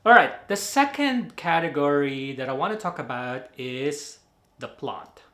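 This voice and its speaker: A man's voice: on the high side, expressive, measured pace, bright, forceful tone, reverberant. A man from the Philippines with a Filipino accent, giving a casual spoken movie review with lots of fillers and self-corrections. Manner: rambling, many fillers, laughs at own slips.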